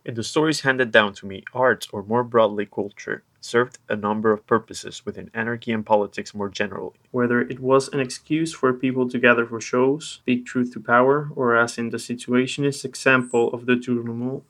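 A man's voice: medium pitch, fairly even intonation, moderate pace, few pauses, a bit bright. A man with a mid-range voice hosts a spoken podcast. He moves between reading and moderating discussion. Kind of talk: scripted reading, then casual discussion.

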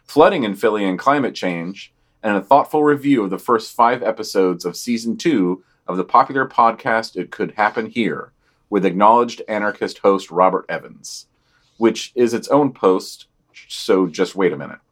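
A man's voice: lowish voice, normal speed. A man reading news summaries for a podcast, with dry, offhand comments on each item.

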